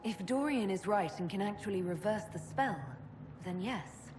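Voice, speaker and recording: A woman playing a level-headed, earnest young adventurer in a video game, speaking in a measured way. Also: mid-range voice, lively intonation, a little mellow, faint music behind, lightly acted. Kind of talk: video game dialogue acting.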